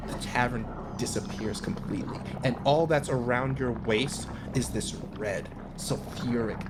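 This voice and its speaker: A man improvising scene descriptions as a game master, in a halting way that circles back and rephrases. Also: medium pitch, heavy background noise. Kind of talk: improvised tabletop game narration.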